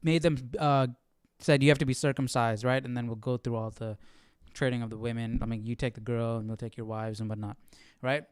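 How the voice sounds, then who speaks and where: A man's voice: mid-range voice, fairly even intonation, brisk, few pauses, clear, resonant tone, no room sound, studio quality. A man hosting a casual Bible study, thinking aloud in a hesitant, questioning way and often checking in with the listener. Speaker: Bible study livestream host.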